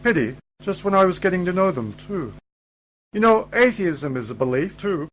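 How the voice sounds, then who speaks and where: A man's voice: high voice, sing-song, moderate pace, low-quality recording. A man playing an eccentric, wry time-travelling uncle figure in an amateur radio play, with dry, teasing, philosophical delivery.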